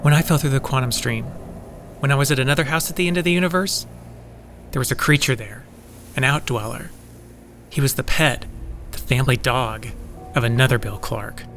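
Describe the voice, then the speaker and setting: A man's voice: mid-range voice, brisk, long pauses, fairly bright tone, slight background noise, lightly acted. An actor playing an anxious, earnest man in a science fiction audio drama, speaking with worry. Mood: fearful. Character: anxious, frightened male member of a dimension-hopping group.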